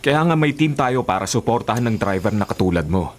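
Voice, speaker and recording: A man's voice: mid-range voice, animated, fairly quick, natural voice. A man playing a stern but caring racing team chief in a Filipino radio drama, authoritative and cautioning. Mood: subdued, serious.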